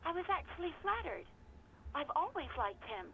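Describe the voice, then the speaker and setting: A woman's voice: extremely high pitch, sing-song, moderate pace, muffled recording, a little hiss or hum. An actress voicing a young woman barkeep in a game, talking on the phone, reproachful and hesitant.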